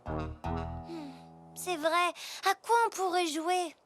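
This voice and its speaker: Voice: very high voice, wildly swooping pitch, extremely slow, full character voice. A performer voicing a friendly, curious little girl zebra in a children's cartoon, agreeable and chirpy. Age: child character. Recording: music behind the voice.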